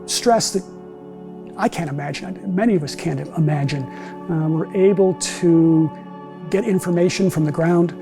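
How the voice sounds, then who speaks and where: A middle-aged man giving a measured personal recollection in a documentary interview. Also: on the high side, music in the background. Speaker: bereaved brother interviewed. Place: large meeting room with empty chairs.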